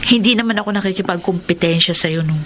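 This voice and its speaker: A woman's voice: lowish voice, fast talker, noisy background, natural voice. A Filipino woman acting in a radio drama as a young college student, evasive and playfully exasperated with her boyfriend. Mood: playfully reluctant. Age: young adult.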